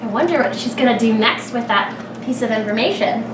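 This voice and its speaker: A woman reading a picture book aloud to young children as a kindergarten teacher, expressively, with dramatic pauses and thinking aloud as she asks the listeners questions. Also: fairly high pitch, animated. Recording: echoey room, distant mic, clear background noise.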